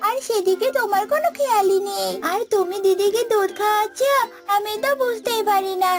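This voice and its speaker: A very high-pitched, childlike young calf played by a woman from a children's cartoon, with music behind. She is sulky and spiteful, flinging sarcastic accusations in a whiny manner. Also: brisk. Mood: sulky, jealous.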